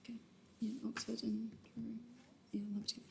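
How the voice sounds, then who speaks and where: A young woman from Manchester, a quiz team captain, conferring quickly and in hushed tones with her teammates at a desk mic in a television studio. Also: fairly high pitch, monotone, vocal fry, breathy edge. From Manchester, England.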